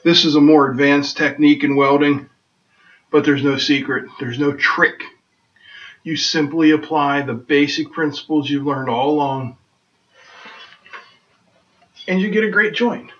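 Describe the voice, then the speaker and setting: Middle-aged male shop host, folksy how-to delivery, a bit high-pitched, dull camera-mic sound. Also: normal speed, fairly bright tone. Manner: plainspoken and folksy, jokes at his own expense. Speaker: welding instructor and host. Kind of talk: casual how-to demonstration. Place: home welding workshop, indoors.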